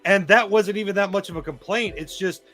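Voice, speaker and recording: A man's voice: high voice, brisk, a bit bright, faint music behind. A man chatting casually and enthusiastically about films on a live stream, speaking emphatically.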